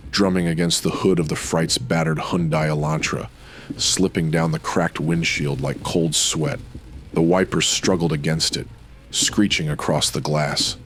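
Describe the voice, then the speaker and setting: An extremely deep male narrator for a noir radio drama. He reads scripted prose with brooding, dramatic weight over faint music.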